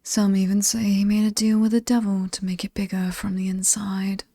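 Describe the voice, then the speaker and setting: Casting: actress as alluring, menacing British demoness for horror ASMR. Breathy half-whisper, dark and creaky, teasingly ominous. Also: female voice, mid-range voice, little pitch movement, normal speed, dry recording, lightly acted. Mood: teasing, inviting. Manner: seductive, teasing, theatrically ominous, lingering on words. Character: alluring, sinister ageless demon woman showing off haunted mansion.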